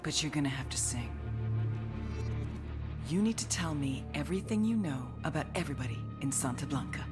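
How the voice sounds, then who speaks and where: Game agent handler: low-pitched, sardonic, coolly commanding, profane; scored with prominent music. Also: female voice, expressive, brisk. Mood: coolly persuasive. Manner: foul-mouthed, sardonic, coolly controlling. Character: tough, sardonic American female CIA handler and interrogator. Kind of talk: cinematic game cutscene acting, briefing narration. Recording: music behind the voice.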